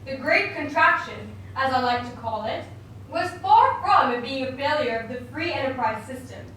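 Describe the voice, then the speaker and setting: A woman's voice: high voice, expressive, moderate pace, a bit bright. A woman voicing an opinionated male economist puppet in a scripted mock interview, lecturing emphatically and dismissively. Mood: lecturing, assured. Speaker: interview guest. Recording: very echoey room, distant mic, faint noise.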